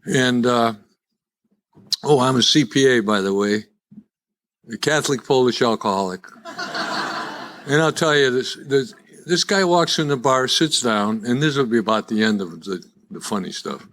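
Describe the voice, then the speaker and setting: A man's voice: medium pitch, animated, moderate pace, pauses often, a bit bright. A man in his seventies with a Chicago accent, giving live personal testimony in a wry, folksy, conversational storytelling way. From Chicago, USA. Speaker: guest speaker at a recovery meeting. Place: live in-person meeting before an audience.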